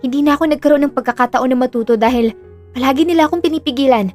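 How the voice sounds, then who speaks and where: A woman's voice: high voice, rapid-fire, darkish tone, lightly acted. A woman playing an earnest, burdened young woman in an audio drama, confiding in a subdued way with a Filipino accent. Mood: pleading, grateful. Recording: music under the voice.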